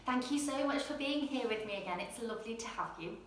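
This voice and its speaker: A young woman presenting and reading a children's storybook in an expressive, gentle bedtime style. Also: on the high side, quick pace, slight background noise. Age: young adult. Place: indoor room at home, seated in armchair. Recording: very echoey room.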